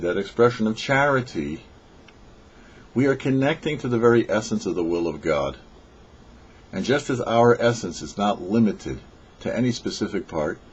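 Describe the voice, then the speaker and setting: A man with a low, husky voice reads a short sermon as a rabbi on a radio show. His delivery is measured and emphatic. The recording is dull and lo-fi. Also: darkish tone. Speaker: rabbi giving a weekly religious teaching. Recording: dull, lo-fi audio.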